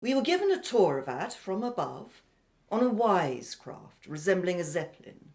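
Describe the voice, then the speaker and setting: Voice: medium pitch, big pitch swings, moderate pace, darkish tone. An actor playing a precise, haughty, educated foreign exile in a radio play, with formal, pedantic phrasing. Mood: explanatory.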